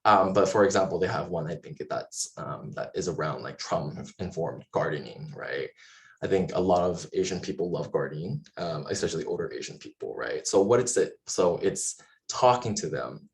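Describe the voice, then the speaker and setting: Low-voiced man speaking casually on a panel. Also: lively intonation, normal speed. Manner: hesitant phrasing with frequent hedging. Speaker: panelist, violence prevention advocate. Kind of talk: informal panel discussion.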